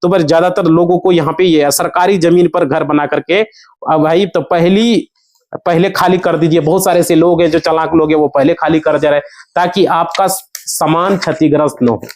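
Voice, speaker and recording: A man's voice: on the high side, darkish tone. A man with a Bihari accent giving an informal explanatory talk to viewers, conversational and often checking with tag questions that listeners follow. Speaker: online explainer of land law for villagers.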